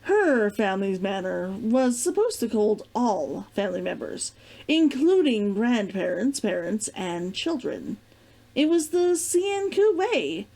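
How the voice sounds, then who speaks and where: A woman reading fiction aloud as an expressive storybook narrator. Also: medium pitch, slow pace. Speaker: reader of a fiction read-along.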